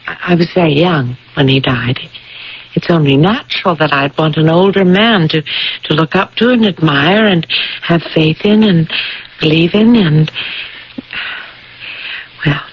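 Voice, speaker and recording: An actress playing an earnest young woman in a radio drama, gently persistent and hesitant, with a husky voice. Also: sits low, a little slow, pauses often, slight background noise. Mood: earnest, pleading. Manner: hesitant, earnest, pleading, with stammered starts. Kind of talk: 1980s radio drama acting. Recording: dull old radio broadcast recording.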